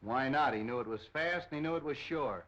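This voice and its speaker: A man's voice: mid-range voice, fairly quick, a bit bright, highs cut off, natural voice. Brusque 1930s police captain played by a man, noisy soundtrack. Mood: matter-of-fact. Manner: brusque, commanding. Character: brash, decisive male police captain, tough and slangy. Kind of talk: 1930s film acting.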